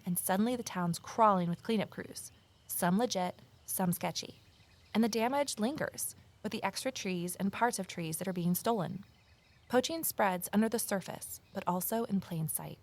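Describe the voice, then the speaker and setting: A woman's voice: mid-range voice, normal speed, lightly acted. A woman playing an earnest, observant office assistant turned amateur sleuth, narrating and speaking in an audio drama. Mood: determined, reflective. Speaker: narrator and lead character. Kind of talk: first-person audio drama narration and dialogue.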